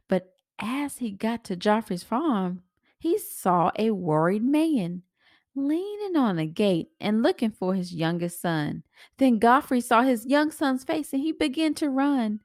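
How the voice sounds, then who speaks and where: A woman's voice: a little high, animated, takes it slowly, no echo, clean studio sound. A woman reading a children's storybook aloud expressively, explaining things to young listeners like a teacher. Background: background music.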